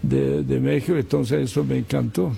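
A man in his sixties with a Serbian accent, a former football coach recalling his career in a casual interview, halting and full of fillers. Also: medium pitch, fairly even intonation, creaky, warm tone, slightly rough. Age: 60s. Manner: halting, many fillers and repeated words.

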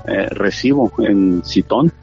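A man's voice: fairly low pitch, slow pace, gravelly, darkish tone. A man in his thirties with a Mexican accent, earnestly telling his church testimony in an interview, with many fillers and restarts. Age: 30s. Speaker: interview guest. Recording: dull lo-fi sound with faint music.

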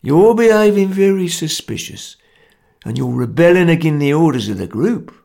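A man voicing a grim, stern male ringleader, talking gruffly. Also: fairly high pitch, animated, moderate pace, darkish tone, pitched above the performer's own voice. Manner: gruff, severe, matter-of-fact.

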